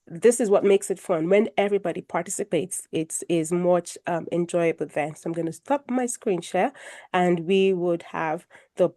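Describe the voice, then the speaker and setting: A woman moderating an online health discussion over a video call, getting over a cold and a little croaky, making formal introductions with light self-deprecating humor. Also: on the low side, normal speed, warm tone. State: recovering from a cold, a bit croaky. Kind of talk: hosting a video call panel, presenting slides.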